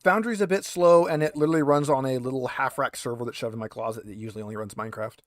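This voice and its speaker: A man's voice: medium pitch, very fast, dry recording, studio quality. A man acting as game master, chatting casually and explaining the rules step by step. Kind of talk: casual tabletop game chat.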